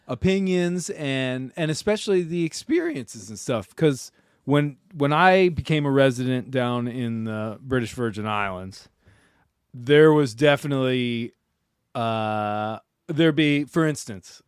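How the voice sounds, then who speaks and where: A man hosting a podcast aboard a sailboat, chatting casually in a relaxed, rambling way full of fillers. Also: mid-range voice, unhurried, smooth, dry recording, clean studio sound. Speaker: podcast host. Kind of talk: casual conversation.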